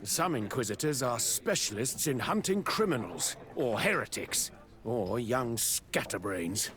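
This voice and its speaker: A man's voice: mid-range voice, sing-song, a little slow, fairly bright tone. Haughty, stern middle-aged father. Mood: stern, resolute. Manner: haughty, formal, bitter. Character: stern, pious, scornful father of grown daughter. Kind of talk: video game cutscene dialogue.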